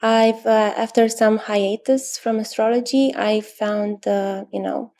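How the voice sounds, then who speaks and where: Romanian woman, 30s, interview guest; mellow, gravelly tone, even delivery, pauses to think. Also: mid-range voice. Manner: thoughtful, many pauses and fillers, soft laughs. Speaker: astrologer guest. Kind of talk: interview answers.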